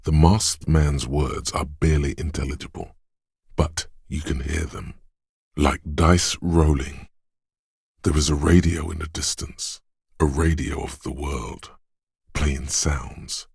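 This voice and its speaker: A game's inner sense of perception, a disembodied male voice that describes every sound it catches. It sits extremely deep and comes out husky and breathy. The delivery is unhurried and close-miked with no room sound. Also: fairly even intonation, no echo, full character voice. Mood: hushed observant. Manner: hushed, measured, quietly descriptive. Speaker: voice actor. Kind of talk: game voice lines, second-person narration.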